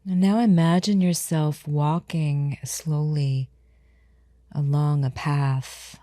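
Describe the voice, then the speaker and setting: A woman guides a meditation at a slow, unhurried pace. Her voice is strikingly low and soft-edged in tone, and she gives each instruction gently and soothingly. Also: steady tone, no room sound, clean studio sound.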